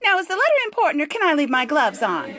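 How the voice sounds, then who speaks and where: Actress as flighty female secretary, sharp bright tone, animated pitch, chirpy, old-time radio comedy. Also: fast, heavily acted. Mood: perky, businesslike. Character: brisk, flighty young lady secretary.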